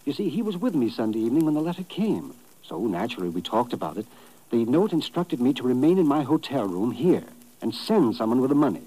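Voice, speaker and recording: A man's voice: medium pitch, very animated, quick pace, warm tone, rough recording. An actor playing a worried, polite immigrant businessman in a 1950s radio drama, speaking formally with hesitant stammers. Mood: earnest, cautious. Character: anxious, polite immigrant businessman, victim of extortion.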